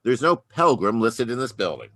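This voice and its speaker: A man's voice: medium pitch, sing-song, moderate pace, a bit bright, dry recording, studio quality. A man playing a plain-spoken, slightly hesitant police lieutenant in an old-time radio detective drama. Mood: puzzled, businesslike. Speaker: actor. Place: live online video call.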